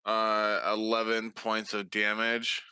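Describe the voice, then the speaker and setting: A man's voice: on the low side, monotone, takes it slowly, sharp tone, clear, resonant tone, no echo. A man playing a tabletop game, talking casually as he calls his moves.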